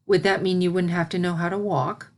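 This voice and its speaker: A woman voicing a patient, gentle royal pony princess who teaches and consoles her pupil in a storybook reading. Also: lowish voice, level tone, natural voice. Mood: patient, teaching. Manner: measured, instructive, consoling.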